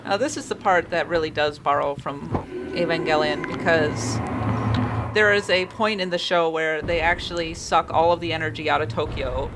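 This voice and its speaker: A man's voice: fairly high pitch, normal speed, background noise. A man giving casual, conversational commentary on video game cutscenes.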